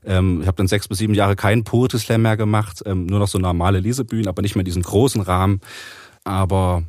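A man's voice: sits low, steady tone, fast, a little mellow, no echo, clean studio sound. A man speaking as an interview guest, explaining things casually and a little hesitantly as he thinks aloud. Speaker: interview guest, author and poetry slammer.

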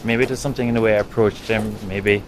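A man with a Jamaican accent speaks in a somewhat low voice, telling his own stories in a measured, reflective way. He pauses often mid-thought, and faint street ambience sits behind him. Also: steady tone, slight background noise, low-quality recording. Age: adult. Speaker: writer and guest. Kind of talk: reflective storytelling.

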